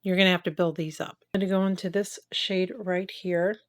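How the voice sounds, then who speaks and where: A woman's voice: fairly low pitch, fairly even intonation, fairly quick. A middle-aged woman with a nasal voice from a cold, chatting candidly through a makeup review and tutorial. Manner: chatty, candid, talks while applying makeup. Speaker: beauty vlogger.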